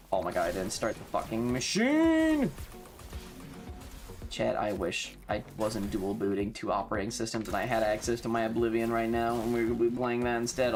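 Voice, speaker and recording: An American game streamer giving casual live commentary, swearing often, exclaiming and repeating phrases. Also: medium pitch. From North Carolina, US.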